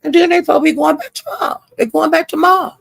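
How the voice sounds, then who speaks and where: Older woman, high creaky voice. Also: fairly even intonation, quick pace, no room sound. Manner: folksy, rambling, salty-tongued. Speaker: livestream host. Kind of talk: casual chat to live viewers.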